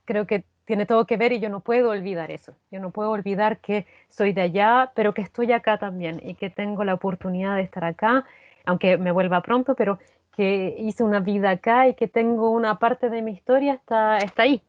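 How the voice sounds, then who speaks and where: A woman with a Chilean accent speaking reflectively and earnestly as an interview guest, halting and repeating words for emphasis. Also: medium pitch, steady tone, no room sound, lo-fi sound. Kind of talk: reflective personal conversation.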